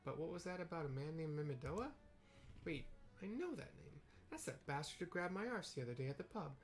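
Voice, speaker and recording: A man's voice: a little high, sing-song, a little fast, soft background music, voice pushed up. A man voicing a flustered, self-important female scholar in a video game, stammering and chatty. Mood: indignant.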